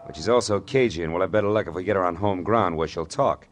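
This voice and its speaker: An actor playing a wry, terse, cool-headed hard-boiled private detective who narrates a 1940s radio noir. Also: male voice, deep voice, fast talker, a little hiss or hum, natural voice. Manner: terse, wisecracking.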